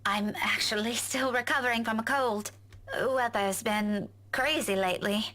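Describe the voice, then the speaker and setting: A woman's voice: fairly high pitch, steady tone, moderate pace, sharp tone. A woman playing a polite, self-effacing young woman in a visual novel game. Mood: nervous, reassuring.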